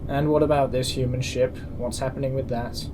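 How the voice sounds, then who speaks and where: A man's voice: mid-range voice, steady tone, fairly quick, background noise, natural voice. A man playing a stern, suspicious space station security officer in a sci-fi audio drama, formal and curt. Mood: officious, suspicious.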